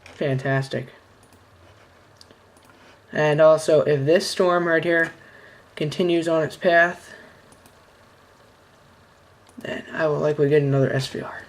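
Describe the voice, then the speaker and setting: Very low-voiced woman, casual commentary. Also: steady tone, slow, pauses often, rough recording. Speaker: amateur video host and weather alert enthusiast.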